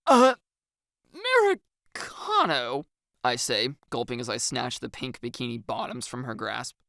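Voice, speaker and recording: A woman reading scripted first-person story narration in an expressive way. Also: rumbling low, normal speed, creaky, no echo, studio quality. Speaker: audiobook narrator.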